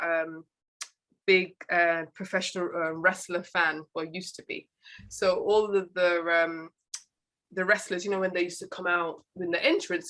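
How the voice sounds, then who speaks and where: Bright-voiced chatty British woman. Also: fairly low pitch. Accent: British English. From England. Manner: chatty, rambling, restarts words often. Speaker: podcast host. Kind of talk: casual interview chat.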